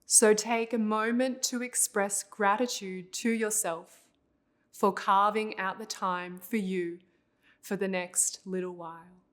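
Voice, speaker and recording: A woman leading a guided visualization as a meditation instructor, speaking in a calm, unhurried, instructional way. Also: mid-range voice.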